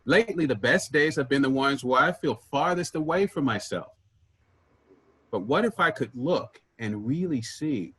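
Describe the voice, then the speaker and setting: A man acting in a staged play reading as an earnest, wistful man searching for his vanished sibling, with a husky voice. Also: mid-range voice, expressive, moderate pace, a bit bright. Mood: wistful, reflective.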